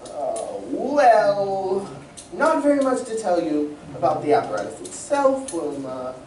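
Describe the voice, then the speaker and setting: A woman playing a proud, excitable, learned inventor in old radio serial style, speaking in a theatrical, professorial way. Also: medium pitch, takes it slowly. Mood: earnest, explaining. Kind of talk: 1940s radio serial acting. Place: community playhouse stage reading. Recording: distant mic, echoey room, background noise.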